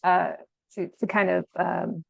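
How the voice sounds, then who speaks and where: Woman guest author, gravelly voice, thoughtful and hesitant, dry and dull audio. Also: on the low side, little pitch movement, unhurried, no room sound. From Arizona, USA. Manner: thoughtful, hesitant, many fillers. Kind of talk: casual interview chat.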